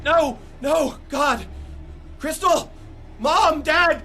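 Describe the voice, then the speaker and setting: Man acting a distraught, high-voiced crash survivor. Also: gravelly, sharp tone, exaggerated voice. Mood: screaming panic. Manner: halting, repeating words in desperation. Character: Joff, panicked, grief-stricken young male crash survivor. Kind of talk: dramatic screenplay table read. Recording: noisy table-read room recording.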